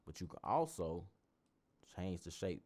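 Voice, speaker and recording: A man with a bassy voice talking loosely through a casual tutorial, often restarting his sentences. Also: very animated, a little slow, a little mellow. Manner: loose, rambling explanations with frequent restarts. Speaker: tutorial host. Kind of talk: casual screen-recorded tutorial.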